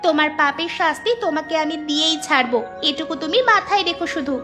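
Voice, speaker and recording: Woman doing tiny cartoon village girl, super high voice, bold and insistent, music behind. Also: quick pace, natural voice. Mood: defiant. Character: defiant, determined little village girl. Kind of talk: dubbed cartoon dialogue.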